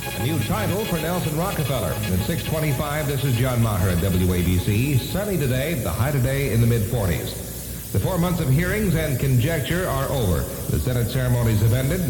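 A man's voice: medium pitch, big pitch swings, fairly quick, over music. A man anchoring a radio news bulletin, reading from a script on 1970s AM radio. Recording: hissy 1970s AM radio, reverberant.

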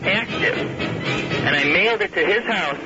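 A man's voice: high voice, animated, moderate pace. A young man telling a casual story as a caller on a radio phone-in. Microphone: telephone. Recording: dull phone call on radio, loud music. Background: loud music.

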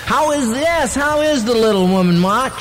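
A man's voice: very high-pitched, animated, normal speed. An American man co-hosting a morning radio show with playful banter, on a 1970s broadcast. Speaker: radio co-host.

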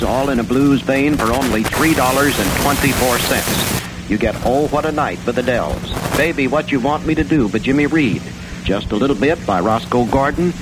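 An American man does a folksy radio DJ sales pitch in colloquial 1960s style. His voice sits at a middling pitch. The recording is an old AM broadcast with clear noise throughout. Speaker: radio DJ reading mail-order record ads. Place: radio studio. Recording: noisy 1960 AM radio aircheck.

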